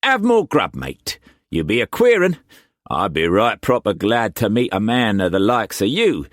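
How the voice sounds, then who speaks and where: A man voicing a boisterous pirate chief who speaks rough Cockney slang, jovial but menacing. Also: fairly low pitch, very animated, unhurried, dry recording, clean studio sound, voice pushed up.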